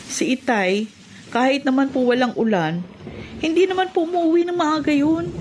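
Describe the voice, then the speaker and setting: Woman acting a high-voiced young Filipina sister. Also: moderate pace, darkish tone, a little hiss or hum, natural voice. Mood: concerned. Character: concerned, indignant grown daughter and elder sister. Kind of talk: Filipino radio drama acting.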